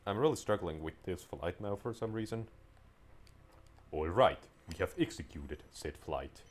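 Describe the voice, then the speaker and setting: Low-voiced man, rambling game commentary. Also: animated, unhurried, a little hiss or hum. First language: Finnish. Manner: rambling, wanders into tangents and restarts sentences. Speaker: gameplay commentator. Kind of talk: casual let's-play commentary.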